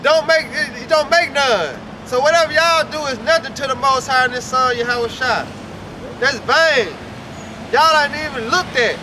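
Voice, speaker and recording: A man's voice: very high-pitched, moderate pace. A man street preaching outdoors in an emphatic, call-and-response manner. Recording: outdoor street recording with traffic and crowd.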